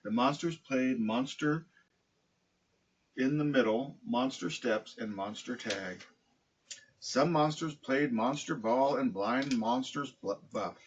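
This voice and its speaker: A man with a husky voice reading a children's picture book aloud. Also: medium pitch, slow pace, highs cut off, a little hiss or hum. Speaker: storybook reader, dog owner.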